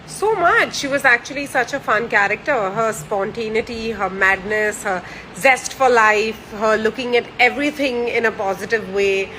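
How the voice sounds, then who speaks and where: Bright-voiced, chatty woman in her thirties. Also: fairly high pitch, normal speed, a little hiss or hum, rough recording. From India. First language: Gujarati or French, not Hindi. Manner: chatty, with fillers and restarts; warm and cheerful. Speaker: actress answering fan questions. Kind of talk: casual chat.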